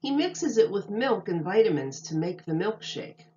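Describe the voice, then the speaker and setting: A woman teacher reading a children's nonfiction book aloud, enunciating carefully and deliberately for young listeners. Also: mid-range voice, very animated, mellow, dull audio, a little hiss or hum.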